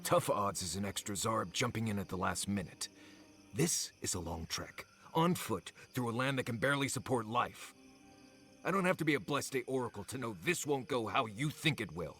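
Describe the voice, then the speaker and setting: A man's voice: lowish voice, lively intonation, normal speed, a bit bright, faint music behind. A man acting a stubborn, grumpy guide in a video game, blunt and sarcastic. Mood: exasperated. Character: grumpy, sardonic, stubborn male fantasy guide.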